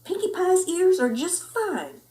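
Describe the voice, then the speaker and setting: A woman voicing a mother cat, firm but kindly, gently correcting her kittens. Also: very high voice, very animated, a little slow, voice pushed up, full character voice. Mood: scolding. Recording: roomy indoor echo.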